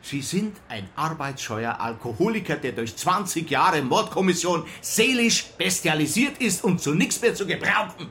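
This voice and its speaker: Casting: irascible police boss, middle-aged, high-pitched, blustering, noisy background. Radio crime drama. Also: male voice, expressive, normal speed, hardly any pauses, pushed and bright. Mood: scornful, exasperated. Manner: blustering, indignant, scolding. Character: irascible middle-aged male police chief, easily driven to rage. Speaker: police chief.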